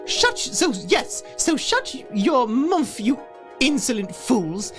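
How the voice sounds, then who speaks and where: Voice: sits high, sing-song, slow pace, pitched above the performer's own voice, full character voice. A livestream reader voicing a stern, scornful woman teacher of wizardry in a parody reading, haughtily. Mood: scornful, angry. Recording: music behind the voice.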